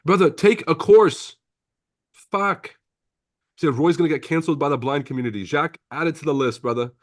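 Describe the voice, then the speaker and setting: A man's voice: fairly high pitch, normal speed, dry recording, studio quality. A man from Lebanon, French his first language, hosting a casual trading livestream, reading viewer comments with brash, profane banter and frequent laughter.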